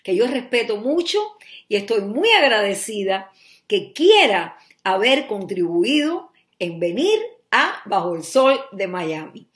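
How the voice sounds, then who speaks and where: A woman's voice: medium pitch, very animated, measured pace. A woman hosting a friendly interview in a home studio, effusive, with many hesitations. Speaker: talk show host.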